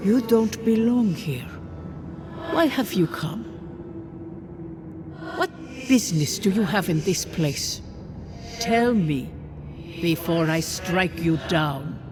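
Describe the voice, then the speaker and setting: An ancient spirit guardian in a fantasy video game. The delivery is very unhurried and solemn, with formal, archaic phrasing. Prominent music plays underneath. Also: mid-range voice, lively intonation. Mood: stern, challenging.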